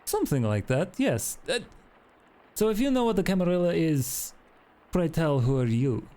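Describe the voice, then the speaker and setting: A man voicing a sardonic, smug vampire interrogator in a tabletop game, with courteous, deliberate phrasing. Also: on the high side, big pitch swings, warm tone, pitched above the performer's own voice. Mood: wry, guarded. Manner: deliberate, polite threats.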